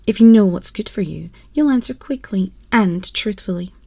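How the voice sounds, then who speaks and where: A woman's voice: mid-range voice, lively intonation, moderate pace, creaky, dark tone, dry recording. A woman playing a haughty, mocking empress in an ASMR roleplay, with imperious, condescending and theatrically formal delivery, close on a binaural microphone. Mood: impatient, threatening. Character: haughty, cruel, mocking empress toying with a prisoner. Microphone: close binaural microphone, dry.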